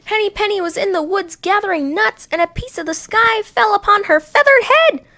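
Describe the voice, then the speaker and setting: A woman voicing excitable, worried farmyard birds in a children's story, full of exclamations. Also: very high-pitched, normal speed, pitched above the performer's own voice, full character voice. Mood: alarmed. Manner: exclamatory, quacking, honking and gobbling.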